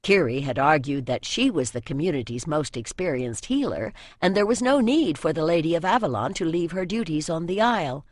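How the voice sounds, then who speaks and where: A woman reading scripted fantasy narration as an audiobook narrator, with measured storytelling. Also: deep voice, big pitch swings, dry recording, clean studio sound.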